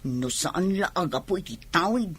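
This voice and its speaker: A woman's voice: on the low side, lively intonation, moderate pace, fairly bright tone, slightly rough. A woman playing a coy young niece who is being courted, in an Ilocano-accented radio drama. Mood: indignant.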